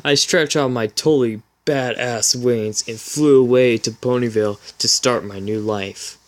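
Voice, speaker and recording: A man's voice: mid-range voice, steady tone, a little slow. A man reading a story aloud as a channel host, in an amused dramatic style with giggly asides of commentary.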